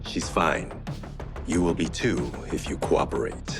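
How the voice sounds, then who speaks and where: A man's voice: a bass voice, a little slow, gravelly, music in the background, heavily acted. An actor playing a proud, menacing swordsman in a video game cutscene, terse and controlled in his threats. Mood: cold, resolute. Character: menacing, proud male swordsman from a hidden clan.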